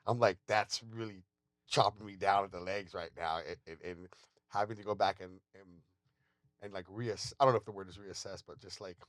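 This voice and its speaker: Man, podcast host, low pitch, dry close sound, candid, self-reflective. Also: fairly even intonation, moderate pace, a bit bright, no echo. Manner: candid, self-reflective, often restarts his words. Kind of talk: casual conversation.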